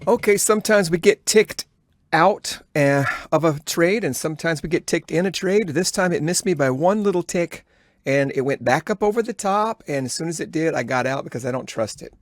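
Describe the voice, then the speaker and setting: A man giving live day-trading commentary, thinking aloud in a halting, instructive way as he talks to himself and viewers. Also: fairly high pitch, lively intonation, no echo. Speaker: day trader recording a trading journal.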